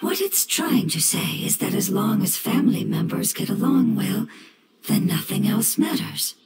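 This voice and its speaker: A woman voicing a calm, wise non-human elemental being in a video game, with measured, formal delivery. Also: mid-range voice, gravelly. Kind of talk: video game character dialogue. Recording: echoing, game music behind.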